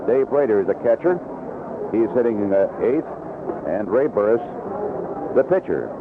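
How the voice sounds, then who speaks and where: A man's voice: fairly low pitch, animated, unhurried. An American man calling baseball on 1970s radio as a play-by-play announcer, in a conversational delivery full of statistics. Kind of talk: 1970s radio sports commentary. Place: outdoor ballpark broadcast. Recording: noisy narrow-band vintage radio broadcast.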